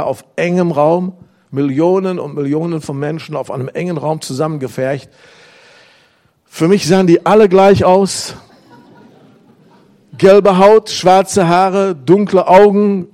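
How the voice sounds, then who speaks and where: A man's voice: on the high side, darkish tone. A middle-aged man preaching a sermon to a congregation, acting out prayers and quotes as he tells stories. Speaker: pastor. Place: church hall with congregation.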